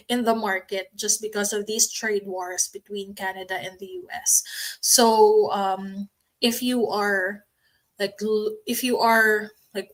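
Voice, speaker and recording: A woman in her late thirties with a Filipino accent, talking casually to camera about her online business, unhurried, with many ums and restarts. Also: medium pitch, fairly bright tone, low-quality recording. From Philippines. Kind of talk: casual promotional talk to camera.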